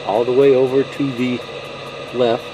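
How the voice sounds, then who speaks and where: A man talks through a piece of equipment in a casual, unscripted way, at an ordinary middle pitch. His manner is folksy and reassuring, with frequent little check-ins. The recording is clearly noisy, with static hiss behind him. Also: level tone, a little slow. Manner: folksy, reassuring, often checks in with "okay" and "you know". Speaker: presenter of a CB radio how-to video. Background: CB radio static hiss.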